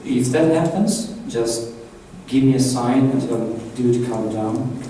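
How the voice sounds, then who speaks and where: A man's voice: mid-range voice, takes it slowly, warm tone. A man with an Italian accent, leading a workshop in a chatty, loose way and asking the room questions. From Italy. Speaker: workshop trainer. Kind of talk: live workshop talk to an audience. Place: large echoey conference room. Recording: echoey room from far off, faint hiss.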